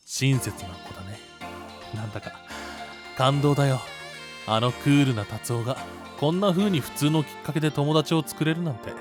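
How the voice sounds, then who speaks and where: A man playing a kind, perceptive high school boy who gently teases and reassures, in an anime-style voice drama. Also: natural voice.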